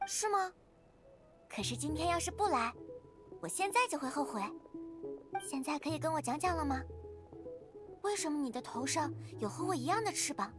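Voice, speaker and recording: A woman's voice: high-pitched, lively intonation, moderate pace, pauses often, music in the background. An actress voicing an earnest, curious and stubborn young winged girl in a Chinese video game dub. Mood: earnest, questioning.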